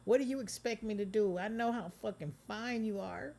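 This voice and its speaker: A reader voicing a jealous, tearful young woman who pleads and accuses in a high, loud voice. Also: normal speed, natural voice. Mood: pleading.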